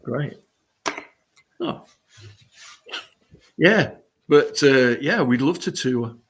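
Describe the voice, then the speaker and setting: A middle-aged or older man, a musician chatting casually and haltingly as an interview guest. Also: mid-range voice, sing-song, breathy edge. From Sheffield, England.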